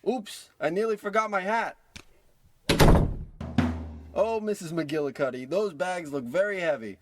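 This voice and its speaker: A man acts out an old miser trying to be good in a spoof of vintage radio drama. His voice sits high, and he comes across as cranky, self-absorbed and manic. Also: unhurried, faint music behind. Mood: giddy, excited.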